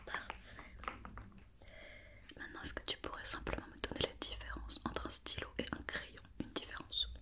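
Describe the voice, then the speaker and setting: A woman whispering softly in an ASMR roleplay as a company doctor, gently reassuring and giving step-by-step instructions. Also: moderate pace. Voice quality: soft unvoiced whisper.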